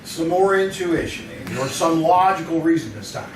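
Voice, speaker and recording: A man plays a curious, wry crime photographer in an old-time radio mystery. His voice sounds echoey and far from the mic, with clear noise in the recording. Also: fairly high pitch, animated, moderate pace, close to an everyday voice. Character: inquisitive, wry, easygoing male crime photographer sleuth. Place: live theater stage.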